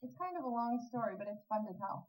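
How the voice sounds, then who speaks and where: A woman's voice: a little high, expressive, quick pace, a little mellow, rough recording. A woman chatting casually as an interview guest, telling little stories and acting out quotes. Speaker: interview guest, singer-songwriter.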